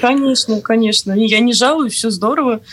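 A woman's voice: on the high side, normal speed. A young woman speaking as an interview guest in casual chat. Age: young adult. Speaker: interview guest, drama student.

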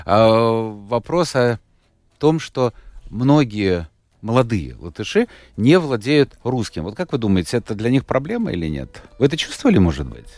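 A man's voice: mid-range voice, very animated, moderate pace, a little mellow, dry recording. A male radio host interviewing a guest, reading out a listener's message and pressing with follow-up questions. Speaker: radio talk show host.

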